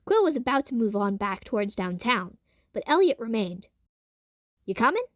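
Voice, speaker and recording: A woman's voice: medium pitch, very animated, moderate pace, dry recording, clean studio sound. A woman reading scripted narration for an audio drama.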